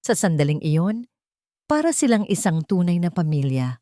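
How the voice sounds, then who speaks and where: A woman tells a melodramatic story as a scripted narrator. Her voice is a little low in pitch. The recording is very dry and close, made as a voice-over. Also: sing-song, moderate pace, mellow, dry recording.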